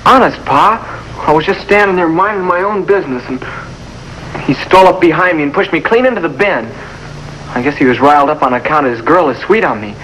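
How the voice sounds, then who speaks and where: A man's voice: a little high, fairly quick, fairly bright tone, lightly acted. An actor playing a young, hot-headed but curious farm boy with a rural Southern American accent in a 1950s TV drama. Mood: defensive. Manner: folksy backwoods dialect. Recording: noisy old 1950s TV soundtrack.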